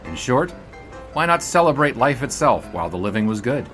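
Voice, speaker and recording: A man's voice: mid-range voice, big pitch swings, normal speed, fairly bright tone, soft background music. An actor playing a cocky, flippant 1920s sportswriter in a period audio drama, wry and old-fashioned in his storytelling. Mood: wry, reflective. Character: 1920s sportswriter, cocky, flippant charming man looking back. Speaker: narrator and lead character. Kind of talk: scripted period audio drama narration and dialogue.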